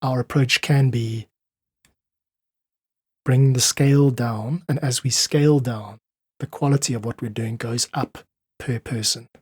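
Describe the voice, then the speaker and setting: A man's voice: mid-range voice, fairly even intonation, a little slow, long pauses, slightly rough, no room sound, studio quality. A South African man speaking earnestly and articulately as an interview guest, explaining his ideas in conversation. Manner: earnest, articulate, uses analogies. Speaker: podcast guest, independent musician.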